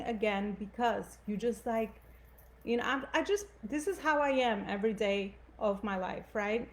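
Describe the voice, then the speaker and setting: A woman's voice: on the high side, a little mellow, low-quality recording. A woman from Albania with an Albanian accent, giving an earnest, conversational motivational talk to live viewers and often asking "right?". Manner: earnest, conversational, frequent "right?" and "you know".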